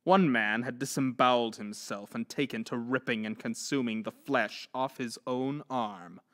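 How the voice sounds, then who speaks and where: A man's voice: mid-range voice, moderate pace, fairly bright tone. A man narrating a horror story in an intense, theatrical manner.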